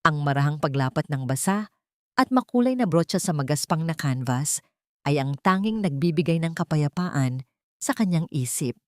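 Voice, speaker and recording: A woman's voice: sits low, darkish tone, no room sound, studio quality. A woman reading scripted dramatic narration as the storyteller of an audio drama.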